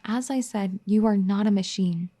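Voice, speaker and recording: A woman voicing a caring, firm young wife in an ASMR roleplay, soft-spoken and gently scolding. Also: medium pitch, normal speed, lightly acted.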